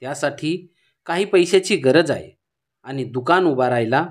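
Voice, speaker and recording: A man's voice: a little high, animated, a little slow. A man playing an earnest, poor village father. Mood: downcast, worried. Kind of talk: scripted cartoon story dialogue.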